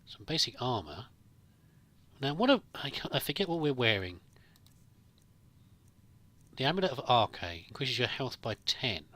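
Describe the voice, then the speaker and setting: A man's voice: mid-range voice, big pitch swings, measured pace, pauses often, pushed and bright, no room sound. A man giving casual, improvised roleplay commentary over a video game, musing and thinking aloud as he plays. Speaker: gamer, let's play host.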